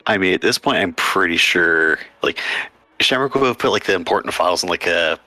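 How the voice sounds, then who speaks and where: A man playing a tabletop game, speaking in character with many pauses and fillers. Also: low-pitched, expressive, bright, forceful tone, dry recording, soft background music. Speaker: player.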